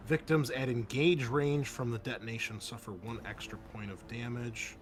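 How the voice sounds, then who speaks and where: A man running a tabletop roleplaying game as game master, explaining rules in a halting way and thinking aloud. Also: medium pitch, fairly even intonation, background noise. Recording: loud background music. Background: music.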